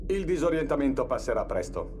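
A male actor playing a brisk, polite, harried military cryo technician in a video game, speaking in clipped procedural phrases. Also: medium pitch, big pitch swings, music in the background, lightly acted. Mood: brisk, courteous.

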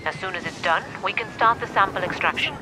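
An authoritative mining director played by an actress gives orders in a medium-pitched voice. She is businesslike and composed, with loud game music behind her. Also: fast, lightly acted, poor audio. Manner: crisp, composed, instructing. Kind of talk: video game briefing.

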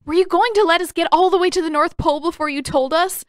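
A woman voicing an incensed, betrayed teenage girl in an audiobook story, clear and yelling. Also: extremely high pitch, fast, dry recording, clean studio sound, voice pushed up. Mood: enraged. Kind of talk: audiobook character acting.

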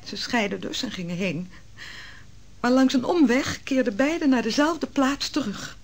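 A wronged noblewoman in an old radio play, her voice a touch high. She tells her story hushed and intense. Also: animated, moderate pace. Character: Susanna, a proud, wronged noblewoman. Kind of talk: vintage radio drama acting.

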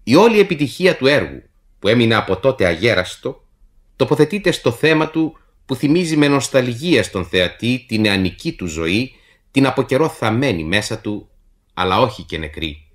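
Male radio narrator, formal scripted literary introduction, animated intonation, measured delivery. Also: mid-range voice. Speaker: radio announcer introducing a play.

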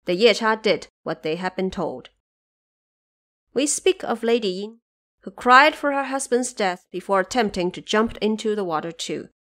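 A woman reading scripted storybook narration of a classic tale in a measured, expressive storyteller's manner. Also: medium pitch.

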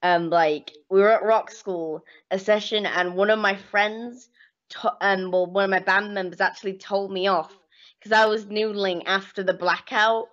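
A young woman asks questions as an eager fan on a video call, her voice at an ordinary pitch. The webcam mic makes her sound dull and lo-fi. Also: steady tone, fairly bright tone. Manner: eager fan, quick back-channel murmurs. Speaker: interviewer. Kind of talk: casual video-call interview. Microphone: computer webcam mic.

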